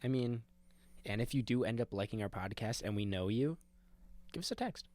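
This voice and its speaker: A man's voice: mid-range voice, lively intonation, normal speed, a little mellow, no echo. A young man co-hosting a podcast in casual chat, joking and swearing loosely. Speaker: podcast co-host.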